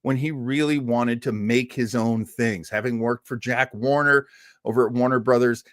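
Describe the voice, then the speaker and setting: A man's voice: medium pitch, fairly even intonation, normal speed, dry recording. A man hosting a live listening party, presenting casually in a chatty, enthusiastic way with dry humor.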